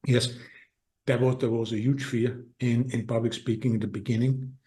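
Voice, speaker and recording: A man's voice: medium pitch, flat delivery, dark tone, poor audio. A man with a heavy Austrian accent, talking earnestly as a podcast guest and restarting his sentences often. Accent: Austrian German. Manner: earnest, halting with many restarts. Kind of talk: casual interview talk.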